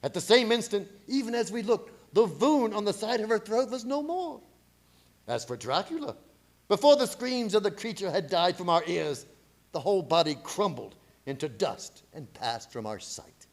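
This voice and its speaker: Guy acting as intense professor vampire hunter in a radio drama, highish voice swinging all over, bossy and theatrical. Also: sing-song, moderate pace. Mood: solemn. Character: urgent, commanding male vampire-hunting professor. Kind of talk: radio play horror acting.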